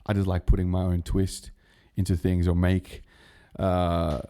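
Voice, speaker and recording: Laid-back man, low voice. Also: completely flat delivery, a little slow, dark tone. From Australia. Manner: rambling, laid-back, full of fillers and restarts. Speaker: guest, music producer. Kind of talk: casual podcast chat.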